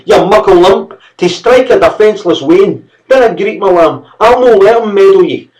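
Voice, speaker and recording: A man doing a shrill, furious Scottish mother. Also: expressive, moderate pace, rough recording. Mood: screaming fury. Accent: Scots. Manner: screaming, scolding.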